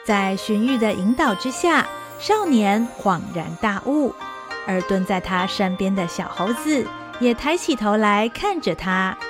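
A woman narrating an audio drama in a smooth, expressive storytelling manner. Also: medium pitch, measured pace, music in the background.

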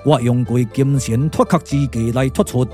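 An actor with a Taiwanese accent playing a solemn, weary strategist, speaking in measured, stately puppet radio drama style. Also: male voice, mid-range voice, fairly even intonation, warm tone, soft background music, close to an everyday voice. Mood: calm, confident.

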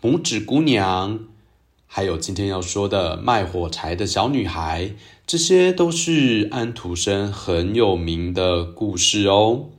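A man hosting a storytelling show, narrating for young children in a gentle, expressive way. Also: lowish voice, takes it slowly. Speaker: children's storytelling podcast host.

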